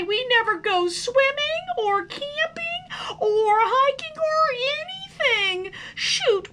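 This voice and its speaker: A woman's voice: very high-pitched, pushed and bright, pitched above the performer's own voice, full character voice. A woman voicing a young boy worm in a storybook, whiny, angry and sarcastic, wailing out petulant complaints.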